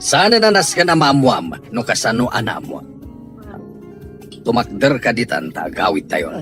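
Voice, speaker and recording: A man's voice: mid-range voice, wildly swooping pitch, normal speed. An indignant father in a family audio drama, acted by a man, scolding and insistent in a mid-range voice with big swings of intonation. Light music sits behind him. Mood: stern. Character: stern, indignant father determined to bring his daughter home. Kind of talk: amateur audio drama acting.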